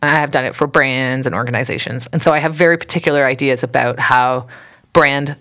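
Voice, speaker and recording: A woman's voice: extremely low pitch, a little fast, fairly bright tone. A woman co-hosting a casual podcast, chatty and opinionated, often laughing between thoughts. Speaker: podcast co-host. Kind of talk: casual chat.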